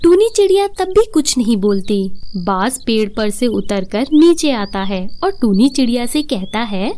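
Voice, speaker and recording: A woman storyteller reads a children's tale in a high voice. The delivery is scripted narration for a cartoon. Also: very animated, a little slow, mellow.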